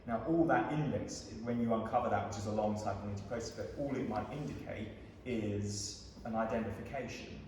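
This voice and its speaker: A man speaking as a psychotherapist on a panel, explaining in a measured, lecturing way. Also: on the low side, darkish tone. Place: large gallery room. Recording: echoey gallery hall from far off, noisy.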